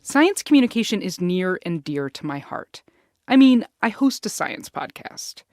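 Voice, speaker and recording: A woman's voice: medium pitch, very animated, normal speed, a little mellow, no echo, studio quality. A woman with an American accent hosting a science podcast in a casual, playful, conversational way.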